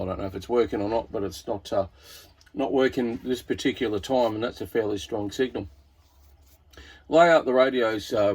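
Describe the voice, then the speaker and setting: Low, husky Australian man, casual walkthrough. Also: darkish tone. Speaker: radio hobbyist presenter.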